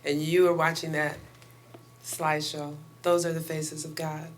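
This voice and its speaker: A woman guest pastor with a loud voice giving a chatty sermon and prayer to a congregation, laughing often between lines. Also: deep voice, level tone, a little slow, slight background noise. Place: house of worship.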